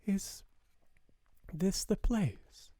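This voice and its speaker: A man voicing a small, wistful young girl in an audiobook, in a soft near-whisper with tender, hesitant questions. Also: sits high, very slow, no room sound, voice pushed up, full character voice. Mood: anxious, whispering. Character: small wistful seven-year-old girl, soft and mysterious. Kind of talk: audiobook character voice.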